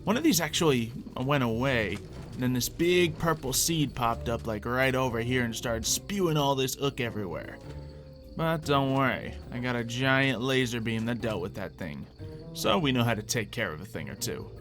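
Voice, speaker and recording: A man with a mid-range voice hosts a gaming video over clearly audible background music. He comments casually on the gameplay, working through his thoughts aloud. His delivery is conversational and explanatory, like a player walking viewers through each step. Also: mid-range voice, normal speed. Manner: thinks out loud, explanatory, conversational.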